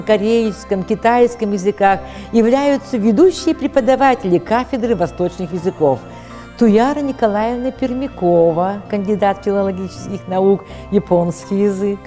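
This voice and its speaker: A woman giving a formal, deliberate spoken presentation. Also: medium pitch, expressive, warm tone. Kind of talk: spoken presentation introducing a cartoon project. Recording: echoey hall, music behind.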